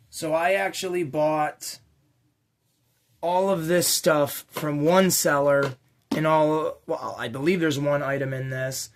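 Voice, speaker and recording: A man with a slightly raspy voice hosting a casual, unscripted unboxing vlog for toy collectors, rambling along with slangy, enthusiastic asides. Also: on the high side. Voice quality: a little raspy. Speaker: toy collecting channel host.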